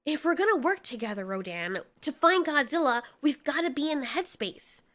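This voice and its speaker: A woman's voice: high voice, animated, moderate pace, a bit bright, pitched above the performer's own voice. A woman voicing an anxious, caring female giant moth with an earnest, hesitant, pleading manner in an audio story. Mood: concerned.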